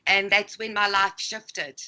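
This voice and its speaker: A woman's voice: mid-range voice, steady tone, measured pace, bright, forceful tone. A woman from Greece, a native Greek speaker, talking as a life coach guest in a casual interview, in a reflective storytelling manner.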